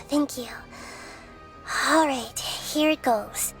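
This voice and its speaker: Very high-voiced gentle young goddess. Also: female voice, over music, full character voice, low-quality recording. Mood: gentle, caring. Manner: earnest, measured, diplomatic. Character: gentle young female deity of nature, earnest, caring, resolute. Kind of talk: scripted video game voice acting.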